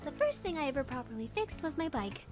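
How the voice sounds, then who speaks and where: A woman voicing a confident, calm and slightly aloof young engineer in a visual novel game, speaking in a composed, matter-of-fact way. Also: high voice, quick pace, soft background music. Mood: matter-of-fact, reflective.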